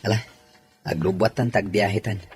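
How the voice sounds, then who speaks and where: A man's voice: lowish voice, normal speed, faint music behind, lightly acted. A Filipino man playing an easygoing, teasing workmate in a casual, bantering audio drama scene.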